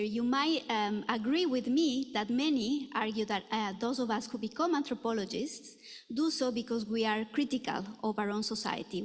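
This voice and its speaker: Woman from Ecuador lecturing in a hall. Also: a little high. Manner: earnest, many filler pauses. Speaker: anthropology professor giving a talk. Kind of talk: conference lecture. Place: lecture room. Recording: echoey hall reverb.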